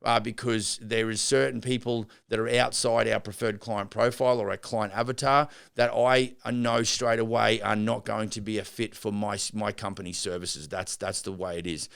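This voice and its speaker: A man's voice: lowish voice, level tone, moderate pace, gravelly, hardly any pauses, a bit bright, smooth. A middle-aged man and builder hosting a business podcast, talking off the cuff and rambling through restarts and fillers. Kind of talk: unscripted business podcast monologue.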